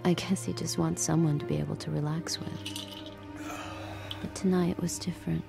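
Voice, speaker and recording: An actress playing a subdued, wary young handmaid who also narrates, in a restrained audio drama style. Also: female voice, low-pitched, little pitch movement, normal speed, gravelly, over music. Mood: confiding narration. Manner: guarded, restrained.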